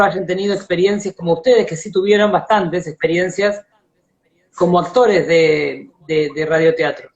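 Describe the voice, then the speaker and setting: A man with an Argentine Rioplatense accent interviewing casually, halting and repeating words as he searches for phrasing. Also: high-pitched, dull audio.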